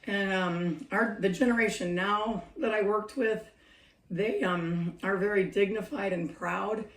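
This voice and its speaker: A woman's voice: medium pitch, fairly even intonation, a little slow. A middle-aged woman with a scratchy voice chatting reflectively in a vlog, laughing often as she talks. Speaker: senior care worker sharing advice. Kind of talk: casual talking-to-camera vlog. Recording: roomy echo, mic a bit distant.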